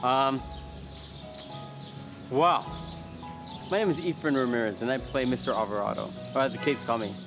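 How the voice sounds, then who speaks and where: A man, an actor, giving a casual on-camera interview in a halting, rambling way, as if thinking aloud. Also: mid-range voice, music in the background. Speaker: actor in a behind-the-scenes interview.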